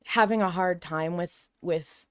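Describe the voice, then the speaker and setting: A woman hosting a podcast, talking casually in an expressive, reflective way. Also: fairly low pitch, a little slow, no room sound, studio quality. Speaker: podcast host.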